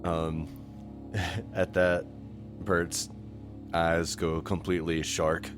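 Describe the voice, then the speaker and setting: A man's voice: deep voice, little pitch movement, very slow. A man taking part as a player in a tabletop role-playing game, talking casually and in character. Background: music.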